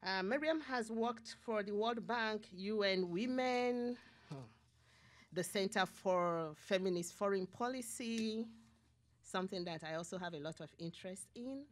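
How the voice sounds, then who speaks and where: A woman moderating a conference panel from a podium, playful and lively as she introduces speakers. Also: medium pitch, unhurried. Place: conference room.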